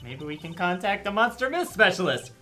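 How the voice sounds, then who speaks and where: A woman's voice: lowish voice, big pitch swings, a little fast, fairly bright tone, soft background music. A woman playing a wry young defense lawyer in a video game fan dub, sardonic and casual. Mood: sarcastic. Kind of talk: visual novel game fan dub acting.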